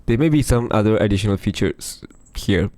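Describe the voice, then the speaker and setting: A man losing his voice, chatting casually through a music software demo over a screen recording. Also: fairly low pitch, fairly quick. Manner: chatty, thinks aloud with fillers. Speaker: music production youtuber.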